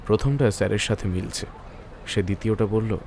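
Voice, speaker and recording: A man's voice: fairly low pitch, a little fast, gravelly, darkish tone, a little hiss or hum. A man narrating a scripted detective story in a dramatic storytelling style.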